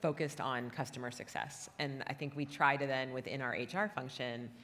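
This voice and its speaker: Low-voiced middle-aged woman, echoing hall. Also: fairly even intonation, normal speed, a bit bright. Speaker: interviewee, company HR executive. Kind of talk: casual panel chat. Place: conference stage in a large hall. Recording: reverberant hall, stage event.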